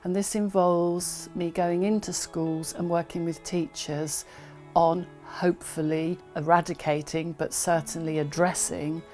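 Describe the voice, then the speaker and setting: A woman's voice: fairly low pitch, little pitch movement, a little slow, darkish tone. A woman describing her work as an education project worker, in the manner of an interview for an organisation's film. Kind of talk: interview-style account of work for a promotional film. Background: background music.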